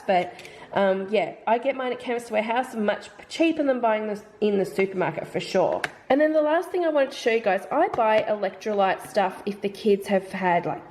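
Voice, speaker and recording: Aussie mum rambling about her shopping haul, bit nasally from hay fever, average pitch, faint hiss behind. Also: darkish tone. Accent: Australian. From Australia. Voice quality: slightly nasal. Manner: chatty, rambling, thinks aloud about prices. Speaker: mum vlogger. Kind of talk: casual product haul chat. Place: home.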